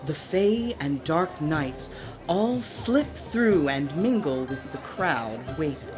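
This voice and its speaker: A woman's voice: low voice, animated, slow, over music. A woman reading scripted stage narration for a radio play.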